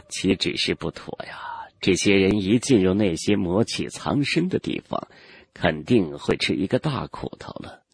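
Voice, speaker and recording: A man voicing an old male cultivator elder in a fantasy audiobook, haughty and knowing, with measured, condescending, old-fashioned speech. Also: low voice, animated, gravelly, slightly rough, no room sound, pitched below the performer's own voice, heavily acted. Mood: mocking. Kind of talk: Chinese fantasy audiobook character acting.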